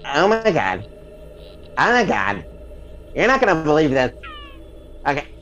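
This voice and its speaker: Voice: mid-range voice, wildly swooping pitch, measured pace, fairly bright tone, muffled recording, faint music behind. A performer playing a flustered, dramatic psychic who rambles in repeated exclamations in a comic audio play. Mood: dithering, dramatic. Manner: breathless, rambling, repetitive exclamations. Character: flustered, dramatic celebrity psychic channeling spirits.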